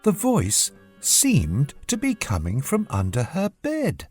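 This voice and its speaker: A storyteller giving a measured, expressive scripted narration of a children's bedtime story. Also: mid-range voice, husky, soft background music.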